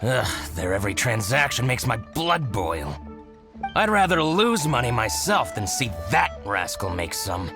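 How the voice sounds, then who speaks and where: A scheming shopkeeper from a video game. His theatrical delivery leaps up and down dramatically and takes its time, relishing each exclamation. Music plays clearly behind him. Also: medium pitch, big pitch swings, a little slow. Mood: scheming, spiteful. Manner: theatrical, exclaiming with grunts and chuckles. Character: scheming, excitable male merchant, spiteful toward business rival. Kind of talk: English video game voice acting.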